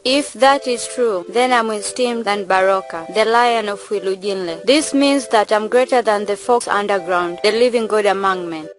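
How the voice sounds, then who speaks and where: A woman's voice: medium pitch, normal speed, few pauses, faint music behind, lightly acted. A young woman playing a proud, defiant village beauty in an amateur stage drama. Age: young adult.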